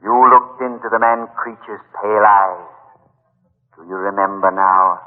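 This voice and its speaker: An actor voicing a mysterious spirit of the jungle with solemn, incantatory questions in an old radio horror play. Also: male voice, on the low side, steady tone, moderate pace, lightly acted. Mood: solemn, summoning. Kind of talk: 1940s radio horror acting. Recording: tinny old radio, long echoing reverb.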